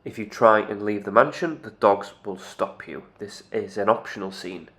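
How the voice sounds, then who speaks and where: Male narrator, game analysis. Slightly low voice, scripted, matter-of-fact explainer delivery. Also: moderate pace, fairly bright tone.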